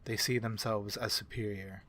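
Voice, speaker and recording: A man voicing a calm, courteous vampire in a soft-spoken ASMR fantasy roleplay, with measured, formal and gently reassuring speech. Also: lowish voice, natural voice. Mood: grave, reflective. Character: calm, courteous male vampire ally to humans.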